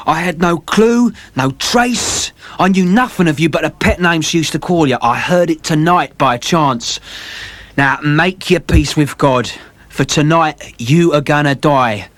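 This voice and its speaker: A man voicing a gruff, vengeful sailor who speaks in a loud, growling, threatening way with rough commoner speech. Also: on the high side, unhurried, no echo, voice pushed up. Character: vengeful gruff sailor, brother bent on revenge.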